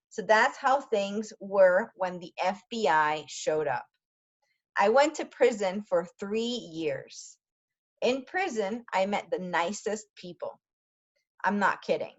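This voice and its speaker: A woman teacher reading a story aloud for language learners, pausing often to explain words in quick asides. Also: medium pitch, lo-fi sound.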